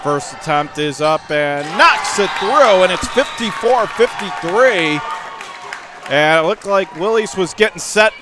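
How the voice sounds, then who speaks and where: An American man giving live, excitable radio play-by-play of a school basketball game in clipped phrases. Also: sits high, a little slow. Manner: excitable, clipped running call of the action. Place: courtside at a school gymnasium. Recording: gym crowd noise, faint background hiss. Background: crowd and bouncing basketball.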